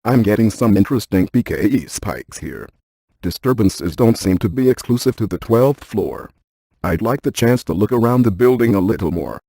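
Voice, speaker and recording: Video game paranormal scientist: cerebral male, deadpan and exact, very mellow dark tone, close dry sound. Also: lowish voice, normal speed, gravelly, dry recording. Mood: methodical, instructive. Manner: deadpan, precise, technical. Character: cerebral, methodical paranormal scientist, adult man. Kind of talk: scripted video game dialogue.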